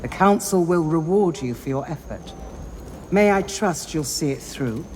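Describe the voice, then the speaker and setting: A woman's voice: low-pitched, expressive, noisy background. Stately, grave elven noblewoman. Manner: formal, stately, measured. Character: grave, proud elven city councillor woman with feathered mantle. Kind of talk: dramatic video game cutscene acting.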